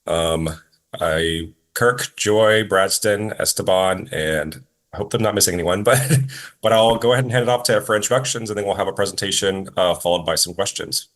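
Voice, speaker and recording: A man's voice: sits low, fairly bright tone. Hesitant man opening an online event. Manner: hesitant, halting, fillers. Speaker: event organizer. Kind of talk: brief event welcome.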